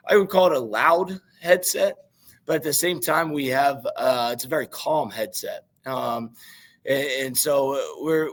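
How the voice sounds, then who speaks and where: A man with a naturally raspy voice and a Midwestern American accent, a young high school football coach giving rambling interview answers full of fillers. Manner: rambling, many fillers and restarts.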